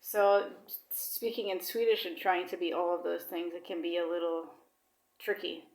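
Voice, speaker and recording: A woman's voice: fairly low pitch, steady tone, moderate pace, reverberant, low-quality recording. A woman vlogging in casual, rambling talk with lots of fillers, now and then dropping Swedish words into her English. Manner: chatty, rambling, with many fillers. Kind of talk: casual personal chat.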